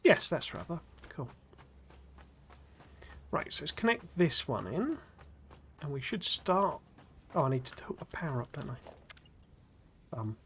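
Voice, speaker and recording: A man streaming a game live, chatting in a rambling way with lots of fillers and restarts, his voice a little gruff after a cold. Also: a little high, very animated, slow pace, long pauses, fairly bright tone. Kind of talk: casual live-stream chat while playing.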